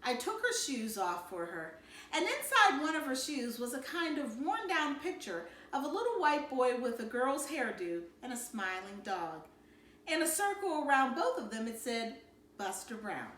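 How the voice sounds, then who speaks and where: Woman, storybook reader, expressive read-aloud, higher pitch, roomy echoey recording. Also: moderate pace, slight background noise.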